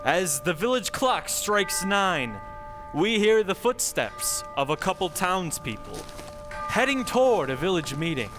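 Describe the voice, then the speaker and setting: A man's voice: sits high, big pitch swings, measured pace, music in the background. A man announcing and narrating an old-time radio show in a theatrical, dramatic scripted style.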